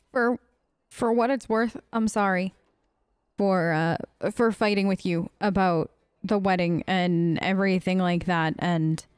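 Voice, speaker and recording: A woman's voice: lowish voice, clean tone, no room sound. Casual woman role-playing, dry close sound. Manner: informal, conversational. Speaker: let's play gamer role-playing her character. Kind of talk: casual in-character role-play narration.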